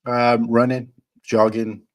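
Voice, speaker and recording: A man's voice: medium pitch, flat delivery, slow pace. A man in his thirties with a Cardiff-area Welsh accent, chatting casually as a podcast guest, often hesitating and restarting. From Wales. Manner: hesitant, many ums and restarts. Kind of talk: casual chat.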